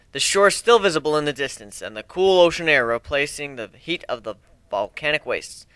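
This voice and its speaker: A man's voice: on the high side, sharp tone, smooth, no echo. A man giving an amateur dramatic reading of a first-person adventure story.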